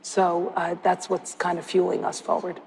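A woman's voice: fairly low pitch, completely flat delivery, moderate pace, creaky, darkish tone. A woman media executive speaking on a stage panel in an upbeat corporate way with a lapel mic. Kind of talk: panel discussion. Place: large hall stage. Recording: long hall reverb, faint room noise.

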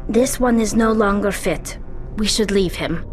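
A woman's voice: medium pitch, unhurried, over music, close to an everyday voice. An actress playing an alert female squad companion in a science fiction video game. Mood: sober.

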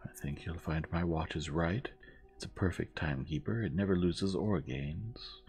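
A man's voice: deep voice, normal speed, darkish tone. A man voicing an imperturbable, efficient female nurse with an uninterested, mechanical manner in an audiobook. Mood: unruffled, indifferent. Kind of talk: audiobook character acting.

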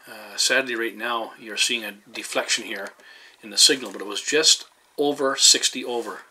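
A man's voice: medium pitch, moderate pace, bright, forceful tone, rough recording. A man from Canada giving a casual, rambling demonstration in a hesitant manner, as a hobbyist vlogger. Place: indoors at a radio receiver. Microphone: handheld camera microphone. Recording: faint noise behind handheld camera.

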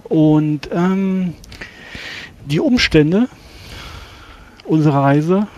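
A man playing a courteous, formal battle mage who speaks politely and haltingly. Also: on the high side, expressive, a little mellow, muffled recording, pitched above the performer's own voice.

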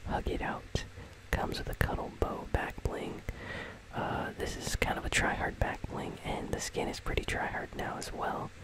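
A gaming channel host speaking entirely in a soft, breathy, unvoiced whisper, giving casual, rambling ASMR commentary. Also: moderate pace, low-quality recording. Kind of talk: ASMR whispered commentary on a game item shop.

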